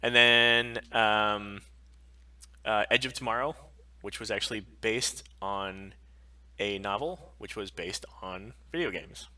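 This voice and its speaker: A man's voice: lowish voice, slow pace, pushed and bright, distant mic. A man co-hosting a casual pop-culture live stream, talking in a sweary, enthusiastic, geeky way. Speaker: podcast co-host on a live stream.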